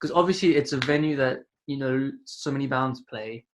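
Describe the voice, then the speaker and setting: A man in a band telling tour stories casually in an interview, halting a little and acting out what others said. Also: mid-range voice, slight background noise. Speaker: band musician being interviewed. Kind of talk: casual chat, storytelling. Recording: music playing behind the voice.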